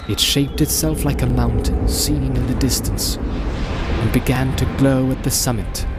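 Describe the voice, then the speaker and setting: A man reading a story as an audiobook narrator, theatrical and deliberate in his phrasing, in a dramatic storytelling style. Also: medium pitch. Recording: home-made, music and crowd sound mixed in. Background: music, crowd and outdoor sound effects.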